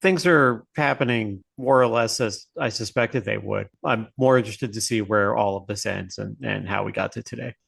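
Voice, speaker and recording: A man with a lowish voice and plenty of gravel in it co-hosts a podcast. He talks casually, reacting and chatting with the others. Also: moderate pace, few pauses, fairly bright tone. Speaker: podcast co-host.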